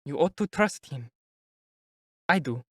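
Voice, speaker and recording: A reader doing a calm, firm young woman. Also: medium pitch, a little slow, slightly rough, no echo, studio quality. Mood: reassuring. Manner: steady, reassuring.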